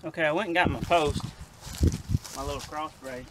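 A middle-aged man narrates farm chores in an easygoing, rambling manner, his voice a touch higher than most men's. He is recorded outdoors in an open field by a camera some distance away. Clear outdoor noise sits behind him. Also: normal speed. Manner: relaxed, rambling, self-correcting. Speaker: farmer vlogger. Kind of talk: casual narration while working. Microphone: camera on tripod at a distance.

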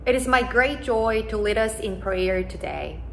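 A young woman, a native Korean speaker, leading prayer as an associate pastor in a church sanctuary, speaking slowly and solemnly from a pulpit. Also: mid-range voice, animated, gravelly, fairly bright tone, a little hiss or hum. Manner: slow, solemn and measured.

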